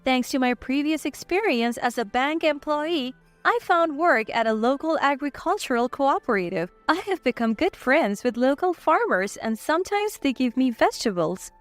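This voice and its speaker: A woman's voice: high-pitched, expressive, moderate pace, hardly any pauses, soft background music. A woman reading a scripted first-person story as its narrator. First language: English.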